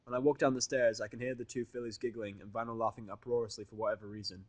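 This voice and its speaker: A man's voice: mid-range voice, steady tone, fast, no room sound. A man narrating fan fiction in the first person, conversational, sardonic and sweary, like an amateur reader.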